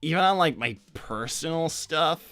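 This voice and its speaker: A man voicing a young cartoon hedgehog hero who is awkward and evasive, talking in hesitant, casual slang for an animated roleplay stream. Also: fairly high pitch, normal speed, gravelly, no room sound, soft background music. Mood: hesitant, probing. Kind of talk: improvised in-character livestream roleplay.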